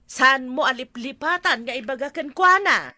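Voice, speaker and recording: A woman acting as a village coordinator in a comedy drama, brisk and strict, with an Ilocano accent. Her voice is bright and animated as she gives insistent instructions. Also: high-pitched, no echo, heavily acted.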